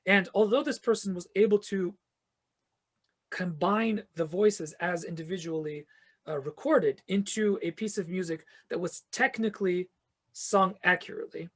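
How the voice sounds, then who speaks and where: Husky, earnest man explaining at length. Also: high voice, moderate pace, a bit bright. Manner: earnest, expansive, builds long explanations with restarts. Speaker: guest, fantasy author and choir conductor. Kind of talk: reflective podcast interview answers.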